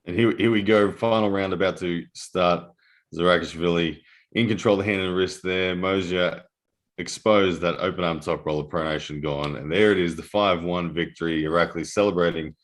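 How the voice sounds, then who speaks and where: A man with a very low, deep voice calls a live arm-wrestling match, breaking down grip and technique between restarts. His voice is worn and hoarse from the early hour. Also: fairly even intonation, normal speed. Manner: analytical, with frequent restarts. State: losing his voice, early morning. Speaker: armwrestling commentator. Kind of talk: live sports commentary.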